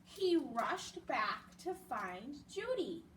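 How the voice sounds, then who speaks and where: A woman reading a picture book aloud at a children's storytime, expressive and explaining things for young listeners. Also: high voice, very slow. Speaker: library storytime reader. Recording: distant mic with faint background noise.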